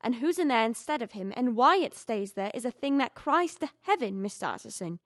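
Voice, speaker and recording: A woman's voice: a little high, sing-song, fairly quick, no room sound, studio quality. A young woman playing a loyal household servant in an audio drama, earnest, deferential and agitated. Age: young adult. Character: frightened, insistent loyal female household servant.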